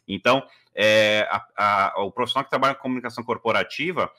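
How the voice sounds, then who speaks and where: A man's voice: fairly low pitch, fast talker, sharp tone. A man, telling his story as a guest on a video call, chatty with many fillers. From Brazil. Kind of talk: casual interview storytelling. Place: living room at home.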